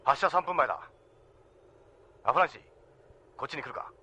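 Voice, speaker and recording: A man playing a brisk, commanding spaceship captain giving gruff orders over the radio in a Japanese anime audio drama. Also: fairly high pitch, expressive, pushed and bright, slight background noise. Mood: businesslike warning.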